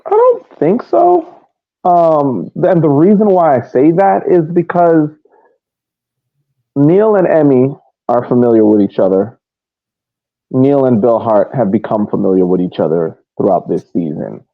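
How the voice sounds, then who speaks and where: A man casually breaking down soccer as a podcast co-host, explaining his points in a measured way. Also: mid-range voice, sing-song, vocal fry, no echo. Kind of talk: casual sports analysis. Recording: muffled narrow phone-like line.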